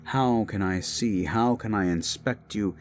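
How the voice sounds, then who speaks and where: A man voicing an unhinged, possessive young prince who speaks with soft-spoken menace and slips into whispers, over faint dark music. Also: fairly low pitch, measured pace, close to an everyday voice. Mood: commanding. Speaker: voice actor. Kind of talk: audio roleplay drama.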